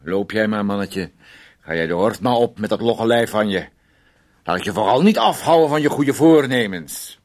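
An actor as a suspicious, taunting man in a radio play. His voice sits low, and his delivery is mocking and sarcastic, made to needle whoever he speaks to. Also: lively intonation, moderate pace, natural voice. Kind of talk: radio drama acting.

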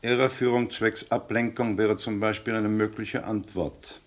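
An actor playing a sceptical police inspector in a radio play, speaking in a dry, measured, pointed manner. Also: male voice, on the low side, level tone, lightly acted.

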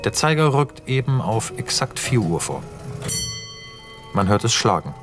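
A man's voice: lowish voice, sing-song, unhurried, music in the background. A man playing a sober narrator who reads screenplay stage directions in a radio play, factual and clipped. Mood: matter-of-fact.

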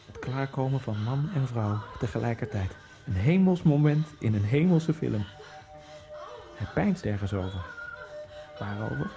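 An actor playing a dead Egyptian immigrant man who narrates his own fate in a radio drama, in a quiet, dry and sardonic way. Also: mid-range voice, animated, measured pace, creaky, music in the background. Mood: wry. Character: dead male Egyptian immigrant, wry and detached. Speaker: first-person narrator.

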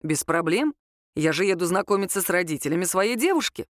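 A woman reader voicing a nonchalant, teasing young man in audiobook dialogue, calm, lazy and deadpan. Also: fairly low pitch, fairly quick, dry recording, clean studio sound, natural voice.

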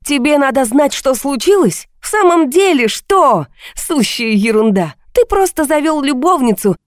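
A woman's voice: fairly low pitch, mellow, no room sound, studio quality, lightly acted. A woman voicing a bitter, mocking wife in a failing marriage, with a cutting, sarcastic manner.

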